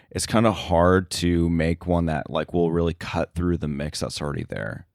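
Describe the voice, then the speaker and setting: A man speaking casually as an interview guest about his work, thinking aloud in a halting way. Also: sits low, darkish tone, no echo, clean studio sound. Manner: halting, thinking aloud, frequent filler likes. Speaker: sound editor, interview guest.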